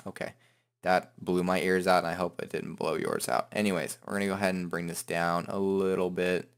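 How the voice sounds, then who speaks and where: A man hosting a software tutorial in an informal, joking, self-deprecating way. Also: deep voice, level tone, brisk, gravelly, smooth. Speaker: tutorial host. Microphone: headset microphone.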